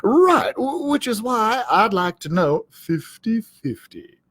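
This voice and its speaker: A man's voice: high voice, big pitch swings, slow, a bit bright, dry recording, pitched above the performer's own voice, heavily acted. A man voicing a vain, gullible storybook cowdog in a blustering, stammering, self-important manner. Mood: flustered, hesitant. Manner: blustering, hesitant, stammering, pompous. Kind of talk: children's storybook character voice.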